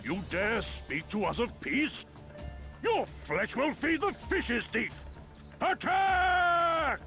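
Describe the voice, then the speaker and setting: A man playing a fierce, hostile turtle-like beastman warrior in a video game, making loud, threatening declarations. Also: extremely high pitch, big pitch swings, takes it slowly, exaggerated voice. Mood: enraged. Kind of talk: dramatic game cutscene acting. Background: dramatic music.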